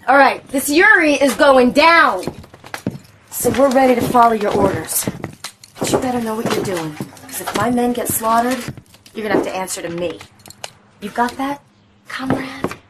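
A woman's voice: a little high, animated, normal speed, very noisy, natural voice. An actress playing a tough, cocky female commando in a video game, blunt and brash. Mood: defiant, warning. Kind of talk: video game cutscene acting.

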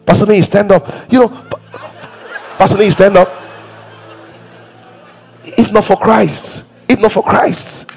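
A man in his thirties with a Nigerian English accent, preaching a lively sermon on a church stage, acting out quoted voices and sometimes breaking into song or shouts. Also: sits high, takes it slowly, vocal fry, long pauses, faint music behind, a faint crowd. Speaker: pastor.

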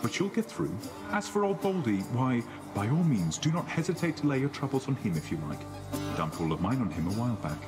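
A man plays a big, cheerful condemned king from a video game. He is kindly and encouraging with a bouncing melody, and loud music plays underneath. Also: medium pitch, big pitch swings, brisk, lightly acted. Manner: jolly, encouraging. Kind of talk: video game character acting.

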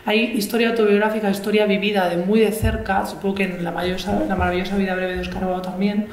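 Una mujer que modera una charla literaria sobre el escenario de un auditorio, hablando con titubeos y frases que vuelve a empezar. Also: female voice, medium pitch, little pitch movement. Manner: halting, many restarts and fillers. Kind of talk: onstage literary conversation. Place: large auditorium stage. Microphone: table mic. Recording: echoey auditorium, faint noise.

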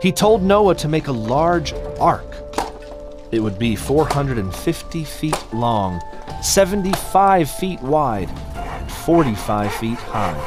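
A man narrates a scripted Bible story for children. His middle-pitched voice rises and falls widely, and he phrases each line carefully at a slow pace. Music plays clearly behind him. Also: sing-song.

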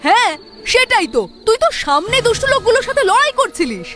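A woman's voice: very high voice, sing-song, fast talker. A woman voicing an easily flustered young village child in a children's cartoon. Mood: astonished. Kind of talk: Bengali children's cartoon dialogue. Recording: music behind the voice.

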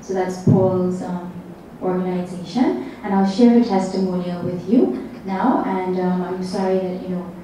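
A soft-spoken woman from Trinidad with a Caribbean accent talks on a panel, her voice low and mellow. She pauses often and searches for words. The sound is distant and very echoey, with a faint hiss behind it. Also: steady tone. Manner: soft-spoken, reflective, halting with fillers. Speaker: meditation teacher. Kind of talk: panel talk. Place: conference room with a panel table.

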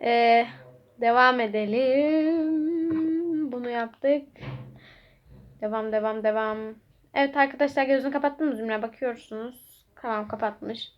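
High-voiced woman hosting a casual game video. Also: a little slow, pauses often, muffled recording. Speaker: host of a children's guessing game video. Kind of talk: casual playful chat to viewers and a child. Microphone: phone held by the speaker. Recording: noisy home phone recording with clicks.

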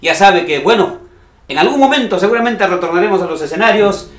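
A man's voice: high voice, fairly quick, reverberant. A man from the Cajamarca region of Peru hosting a music TV show, speaking straight to viewers in an upbeat, promotional way. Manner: lively, promotional, talks to viewers directly. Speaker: TV show host.

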